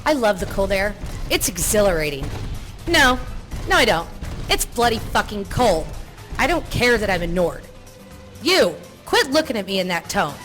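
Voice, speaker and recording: A woman's voice: medium pitch, big pitch swings, normal speed, pauses often, a bit bright, soft background music. A woman voicing a brash, foul-mouthed Nord warrior in a game, with a sarcastic, brazen manner. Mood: grumpy sarcasm. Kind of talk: voiced game character dialogue.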